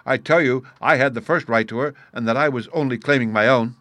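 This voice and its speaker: A man's voice: medium pitch, brisk, a bit bright, no echo, lightly acted. A man voicing a proud, swaggering American criminal in an audiobook, blunt and defiant in his speech. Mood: cold, defiant. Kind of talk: audiobook character dialogue.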